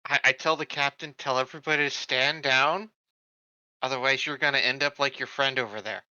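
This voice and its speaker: A man's voice: medium pitch, steady tone, fairly quick, pushed and bright, no room sound, highs cut off. A man playing a tabletop game, casually narrating what his character says and does.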